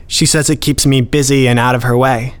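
A man's voice: medium pitch, little pitch movement, fairly quick, smooth, lightly acted. A man playing an elderly, courteous and contented collector in a radio play, speaking politely and matter-of-factly without hurry. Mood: amiable, quietly proud.

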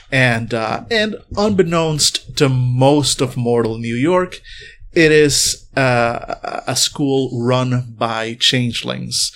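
A man narrating as game master of a tabletop game, rambling and laid-back, with lots of pauses and restarts. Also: mid-range voice, a bit bright.

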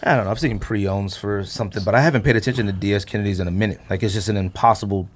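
A man in his thirties hosting a casual chat, rambling and thinking out loud in slangy talk. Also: fairly low pitch, racing. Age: 30s. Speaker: podcast host. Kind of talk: casual chat about sneakers.

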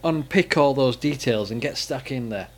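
A man hosting a podcast, interviewing a guest in measured, thoughtful phrases. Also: medium pitch. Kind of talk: casual interview and podcast narration.

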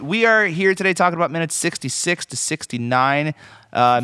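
An American man hosting a podcast, chatting casually and jokily about a movie in an animated way. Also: mid-range voice, clean tone, no room sound. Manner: jokey, animated. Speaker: podcast host. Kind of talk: casual comedic movie chat.

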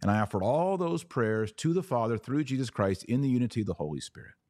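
A man's voice: medium pitch, animated, fast talker, mellow, dry recording, clean studio sound. A man hosting a religious podcast, speaking in emphatic, short declarative phrases as he argues a point of faith to his viewers. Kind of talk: live apologetics talk answering viewer comments.

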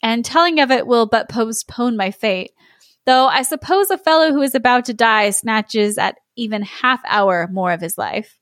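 A woman voicing a sly, melodramatic male cat in a storybook read-aloud, mournful and drawn-out in manner. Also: fairly high pitch, animated, a bit bright, no room sound, clean studio sound. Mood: sly, resigned.

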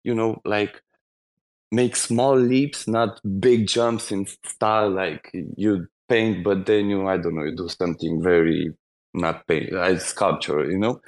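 A man's voice: low-pitched, steady tone, unhurried. A man from Romania with a Romanian accent, an artist speaking English in a casual chat, nervous and hesitant. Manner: nervous, hesitant, self-conscious. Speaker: artist guest.

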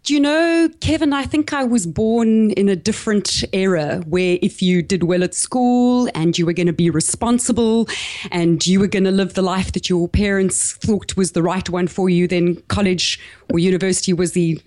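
A woman's voice: mid-range voice, normal speed, darkish tone, no echo, clean studio sound. A woman in her forties with a South African accent, speaking as a podcast guest in an articulate, reflective and upbeat way. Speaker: podcast guest, business coach. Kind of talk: interview conversation.

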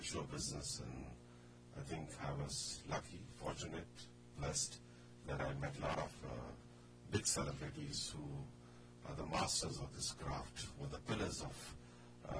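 Very deep Indian-accented man, heavy background noise. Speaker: radio station host. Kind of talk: earnest informal radio talk. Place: radio studio. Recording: very noisy radio studio recording.

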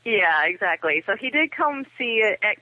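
A woman's voice: mid-range voice, sing-song, normal speed, slight background noise. Woman guest on a thin phone line. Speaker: podcast guest reviewer. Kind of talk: casual podcast discussion. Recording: tinny phone line, dry.

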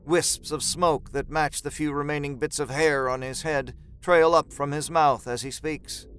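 A man's voice: a little high, steady tone, measured pace, a bit bright, smooth. A man narrating a scripted horror story in a dramatic storytelling style.

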